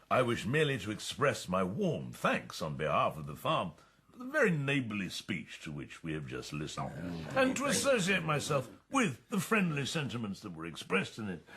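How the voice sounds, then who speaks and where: An actor playing a pompous male pig leader in a radio drama, husky-voiced and given to formal, self-important speechmaking. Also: mid-range voice, a bit bright, full character voice.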